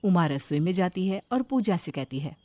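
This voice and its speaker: A woman's voice: fairly low pitch, expressive, moderate pace, dark tone, dry recording. A woman giving scripted narration for a cartoon moral story, as its narrator.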